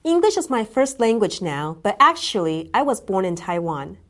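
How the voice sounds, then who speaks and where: A woman who grew up in the United States, an English teacher presenting a scripted grammar lesson in an upbeat, clearly enunciated way. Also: medium pitch, sing-song, normal speed, fairly bright tone.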